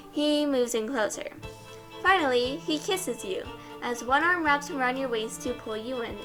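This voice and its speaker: A young woman reads a story aloud as an expressive fan fiction narrator. Her voice sits on the high side, and music plays clearly behind it. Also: low-quality recording. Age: young adult.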